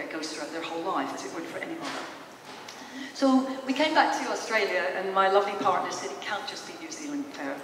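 A woman in her fifties giving an informal, conversational public talk as a storytelling presenter in a large hall. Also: medium pitch. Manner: conversational, storytelling, addresses listeners with questions. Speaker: speaker, psychologist presenting. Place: large theatre hall, in front of stage. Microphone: handheld mic through PA, captured by distant camera. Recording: echoey hall from far off, noisy.

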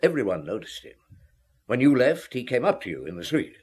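A man playing a calm, probing middle-aged police inspector in a radio drama. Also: mid-range voice, brisk, husky. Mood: polite, probing.

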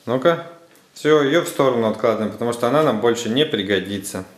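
A man's voice: mid-range voice, expressive, quick pace. A man chatting casually with small children, guiding them with encouragement and short checking questions. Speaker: parent filming and guiding children's craft. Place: living room at home, behind the camera. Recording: echoey room, off-camera.

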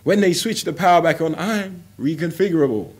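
Young male poet, slightly high voice, rhythmic rhymed delivery, deliberate dramatic phrasing. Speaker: spoken word poet. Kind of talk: performed spoken word poetry, rhymed and rhythmic. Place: indoor room, seated on a sofa.

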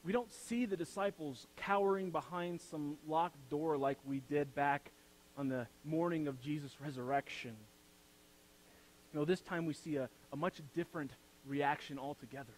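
High-voiced young male pastor preaching. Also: moderate pace, a little hiss or hum, poor audio. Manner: builds to loud emphatic peaks, then drops to soft low phrases. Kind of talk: sermon. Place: church sanctuary, speaking from the pulpit.

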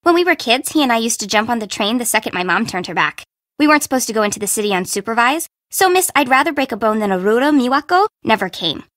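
A woman's voice: a little high, animated, quick pace, a bit bright, no echo, studio quality. An actress voicing a flippant, sassy young fashion-minded city girl in a video game, with a teasing, slangy, blunt way of talking. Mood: chatty, teasing. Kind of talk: video game character dialogue.

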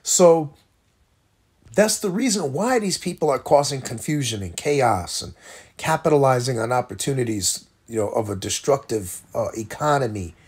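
A man teaching scripture in a loose, rambling street style, slowing down to read verses aloud. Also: mid-range voice, expressive, darkish tone, slightly rough. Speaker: street preacher.

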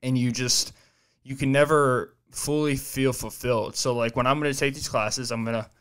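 A man's voice: medium pitch, steady tone, normal speed, a bit bright, clean tone. A man of twenty-two hosting his own podcast solo, rambling casually and swearing freely, recorded on a desk mic in a small home studio. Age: 20s. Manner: rambling, loose and profane, self-reflective. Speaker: podcast host. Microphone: desk mic on boom arm, headphones on.

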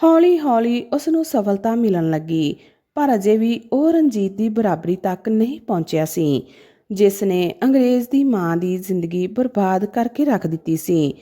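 A woman's voice: mid-range voice, lively intonation, normal speed, warm tone. A woman narrating a scripted story in a dramatic, emotive manner.

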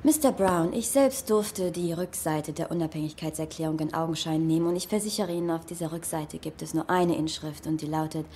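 A woman's voice: low voice, fairly quick, few pauses, dark tone, slight background noise, natural voice. An actress in a German film dub playing a poised woman official, polite, cool and dryly skeptical. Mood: politely dismissive.

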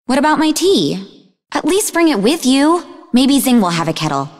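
An actress playing a sarcastic, defensive young woman in a video game, speaking in an expressive, conversational way. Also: high-pitched, moderate pace, long reverb tail, soft background music. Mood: casual, insistent. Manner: snarky, conversational, emotionally expressive. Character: sarcastic, defensive young woman, idealistic martial-arts student and researcher. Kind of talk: video game character dialogue.

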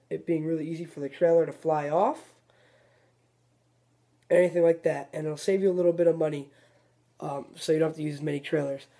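A man hosting a fishing video, casually demonstrating a tackle trick step by step and often restarting his sentences. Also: a little high. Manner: relaxed, rambling, self-correcting explanations.

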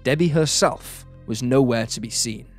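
Measured male true crime narrator over music. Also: mid-range voice. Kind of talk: scripted narration. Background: background music.

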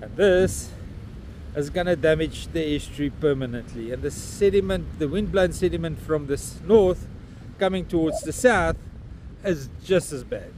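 A blunt, swearing South African man in his fifties, with a slightly high voice, rants into a phone held at arm's length. He is outside on a beach, and clear wind and outdoor noise run under his voice. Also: lively intonation, normal speed, darkish tone. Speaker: vlogger. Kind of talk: outdoor walking vlog. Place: windy beach at an estuary mouth, outdoors. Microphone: phone camera held at arm's length.

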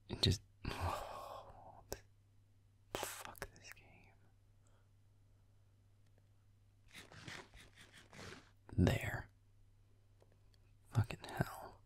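Man acting as a gravelly, whispering, grumpy werewolf boyfriend. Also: flat delivery, drawn-out words, pauses often, darkish tone, exaggerated voice. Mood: irritated. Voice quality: husky, gravelly, whispery low voice. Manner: muttering, dry sarcasm, swearing. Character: grumpy, sarcastic tsundere werewolf boyfriend playing games. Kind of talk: audio roleplay in the form of game commentary.

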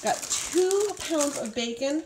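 A woman's voice: high-pitched, lively intonation, takes it slowly. A woman in her thirties, a vlogger, chatting casually and upbeat as she goes through her groceries and their prices, recorded on a handheld phone at home. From Ohio, United States. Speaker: vlogger showing a grocery haul. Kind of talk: casual chat to camera. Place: home room with groceries on a table, TV nearby. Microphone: phone camera held in hand. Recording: echoey room, background noise.

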